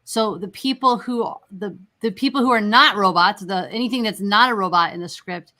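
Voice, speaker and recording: A woman's voice: medium pitch, normal speed, bright, forceful tone. A woman hosting a casual interview, talking in a conversational way as she puts questions to guests. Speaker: interview host.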